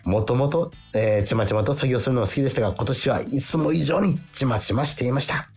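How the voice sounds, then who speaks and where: A man's voice: medium pitch, fast, a little mellow, breathy edge. A man hosting a radio show, chatting casually and reading out listener letters in a jovial, emphatic way. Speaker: actor. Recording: music behind the talk. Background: background music.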